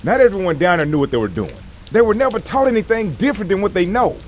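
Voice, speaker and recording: A man's voice: sits high, very animated, fast talker, darkish tone, slight background noise. A man playing a plain-spoken, folksy war veteran who speaks his mind in an audio drama. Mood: indignant. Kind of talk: audio drama character acting.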